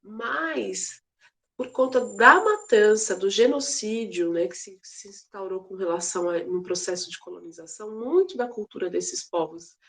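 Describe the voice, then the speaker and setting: Chatty teacher woman with gravelly fry. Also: mid-range voice, animated, normal speed, a bit bright. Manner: chatty, many fillers and restarts, playful asides. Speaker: geography teacher. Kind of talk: casual online class lecture.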